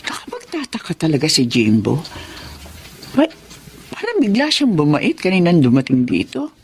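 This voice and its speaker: A woman's voice: low-pitched, huge pitch swings, moderate pace, a little mellow, a little hiss or hum, exaggerated voice. An actress playing a working Filipino mother, a kindly and easily alarmed laundrywoman, in a radio drama. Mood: puzzled.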